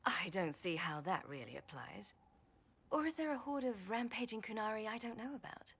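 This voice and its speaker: A woman's voice: mid-range voice, lively intonation, normal speed, gravelly, fairly bright tone, slightly rough, full character voice. A woman playing a seasoned, plain-spoken heroine in a video game, with a dry and understated delivery. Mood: dry, teasing. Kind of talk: video game cutscene acting.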